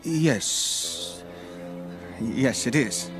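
An actor playing a gentle, bashful landowner in love in an animated Bible story, halting and earnest. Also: male voice, on the low side, exaggerated voice. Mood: gentle, flustered. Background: music.

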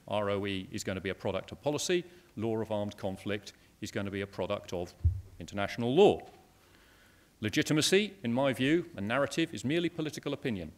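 A man, giving a matter-of-fact conference talk from a lectern in a lecture hall, with dry asides to the audience. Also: medium pitch. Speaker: conference speaker. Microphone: lectern microphone.

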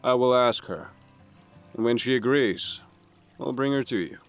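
A man playing a weary, conscientious assassin in an audio drama, speaking gravely and tersely. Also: medium pitch, measured pace, faint music behind, lightly acted. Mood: earnest. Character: brooding, conscientious male assassin, weary and sober.

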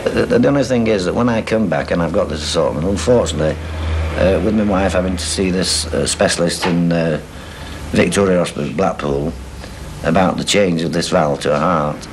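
An older man speaks in a low, deep voice, pausing and restarting with fillers. He is interviewed at home for a documentary. The sound comes from an old tape with faint hiss. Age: 60s. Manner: hesitant, halting with many fillers. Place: living room at home.

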